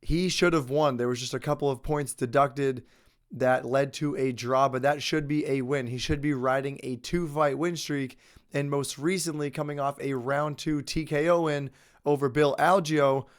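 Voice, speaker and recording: A young adult man with a head cold talks through fight predictions in a relaxed, conversational way. His voice stays smooth and clear at a middle pitch, even though he says he is losing it. He states his opinions with confidence. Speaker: host. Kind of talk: casual sports picks commentary.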